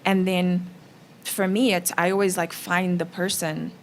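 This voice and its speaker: A woman with a fairly low voice talks casually as a panelist. She speaks conversationally, acting out bits of imagined dialogue. There is noticeable room noise around her. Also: little pitch movement, unhurried. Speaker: panelist, actress. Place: festival roundtable, live event. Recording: noisy room ambience.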